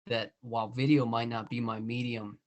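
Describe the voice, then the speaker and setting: A man's voice: medium pitch, level tone, normal speed, slight background noise. A man hosting a podcast, chatting casually with lots of "you know" and "I mean". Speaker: podcast host. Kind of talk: casual interview chat.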